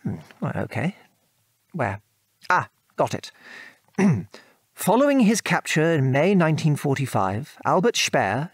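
An actor playing a gentle, reflective British father and war veteran in an audio drama, speaking in a measured, thoughtful way. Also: a little high, no echo, clean studio sound.

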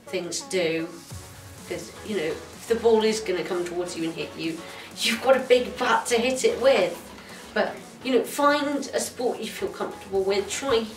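A young woman talking in a chatty, relaxed way in an indoor room, picked up from a distance. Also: medium pitch. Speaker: interviewee. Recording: roomy, distant camera sound.

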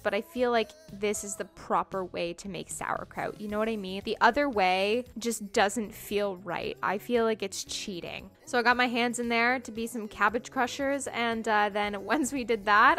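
A woman's voice: mid-range voice, a bit bright. Chatty young woman narrating her cooking. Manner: chatty, self-deprecating, upbeat. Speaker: cooking vlogger. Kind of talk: casual voice-over narration. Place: home kitchen.

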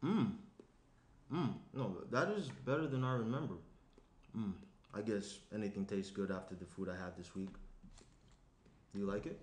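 A young man playing an awkward, earnest professional fiancé at a table read, with a halting delivery. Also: lowish voice, darkish tone, natural voice. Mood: fussy, chatty. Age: young adult. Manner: halting, hesitant, circling the point. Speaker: actor reading a lead part. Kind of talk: screenplay table read, naturalistic. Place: small indoor room around a table. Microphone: shared USB tabletop microphone. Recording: roomy echo, clear noise.

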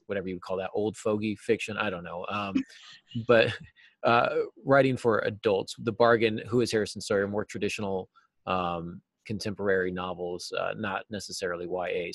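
A man's voice: fairly low pitch, a little fast, no echo. A middle-aged American man talking casually and thoughtfully as a guest in an interview. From United States. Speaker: interview guest, novelist and teacher. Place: small cluttered office, indoors. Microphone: video call.

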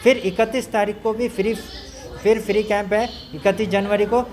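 A middle-aged man making an announcement to camera, halting and repeating words. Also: sits high, steady tone, darkish tone, soft background music. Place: indoor clinic in front of banner.

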